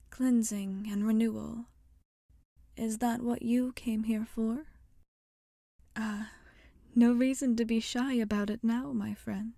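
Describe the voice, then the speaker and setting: A woman voicing a wry, rebellious witch shopkeeper in a soft-spoken ASMR roleplay, often slipping into whispers. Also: fairly high pitch, creaky, no echo, studio quality. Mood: kindly, curious.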